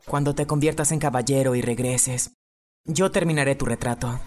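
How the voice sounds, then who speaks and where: A man with a Latin American accent voicing a gentle, sincere teenage boy painter in an anime fan dub. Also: medium pitch, normal speed, natural voice. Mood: gentle, wistful.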